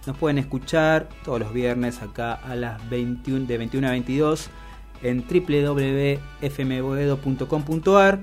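A man's voice: mid-range voice, normal speed. A man with an Argentine Rioplatense accent co-hosting a radio show, talking casually and making announcements. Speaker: radio co-host. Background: music.

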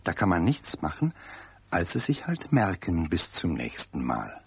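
A man narrating a children's radio play as a wry, avuncular storyteller with a husky, rough voice. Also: low voice, huge pitch swings, unhurried, heavily acted.

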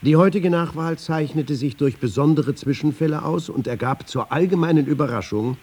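An actor playing a dry raconteur who acts out a pompous newspaper boss in a radio feature. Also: male voice, a little high, normal speed, a little mellow, husky. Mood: dryly comic. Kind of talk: dramatised anecdote reading in a radio feature.

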